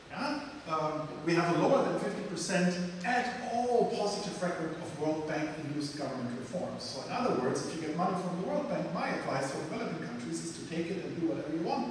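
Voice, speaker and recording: A middle-aged man gives a blunt, emphatic lecture at a slightly raised pitch. He holds a handheld mic in a large lecture room. The sound is heavily echoey and far from the recorder, with a faint hiss. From Germany. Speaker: guest lecturer. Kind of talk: lecture answering questions.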